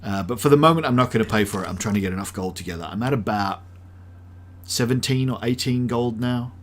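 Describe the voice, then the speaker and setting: A man's voice: medium pitch, fast talker. A man in his late thirties from South Africa, with an accent that mixes South African, Australian and American. He chats casually and rambles while streaming a game, thinking aloud with many ums. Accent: mixed South African, Australian and American. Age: late 30s. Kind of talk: live-stream gameplay chat.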